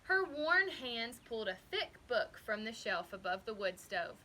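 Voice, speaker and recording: A woman reading a children's story aloud expressively, with deliberate, dramatic emphasis. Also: on the high side, very animated, sharp tone, a little hiss or hum. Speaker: storybook reader.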